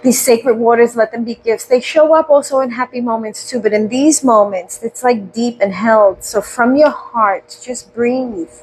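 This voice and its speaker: A woman leading a guided breathing meditation, speaking slowly and soothingly with gentle questions and audible sighs. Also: fairly high pitch, a little hiss or hum, rough recording.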